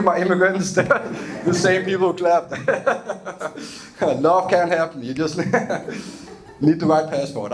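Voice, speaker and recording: A young man from Denmark with a Danish accent, doing a loose, chatty stand-up set on a club stage and laughing at his own jokes. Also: on the high side. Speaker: stand-up comedian.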